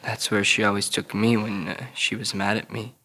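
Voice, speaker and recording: Man acting a troubled, halting, childlike young man. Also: lowish voice, level tone, bright, forceful tone. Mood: haltingly confessional. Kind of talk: audio drama table read.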